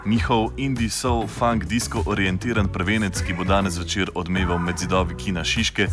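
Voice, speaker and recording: A man's voice: low-pitched, fairly even intonation, a little fast. A man reading a scripted radio introduction. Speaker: radio reporter. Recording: voice over a music bed. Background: music.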